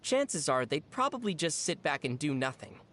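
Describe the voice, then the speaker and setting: An actor playing a young traveler in a video game, speaking in a measured, thoughtful, dry and gently teasing way. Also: mid-range voice, big pitch swings. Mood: reassuring. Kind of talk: scripted video game dialogue.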